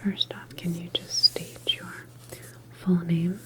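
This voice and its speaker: Woman for ASMR medical roleplay: breathy whisper, unhurried, calm clinical doctor, reassuring and procedural. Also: lowish voice.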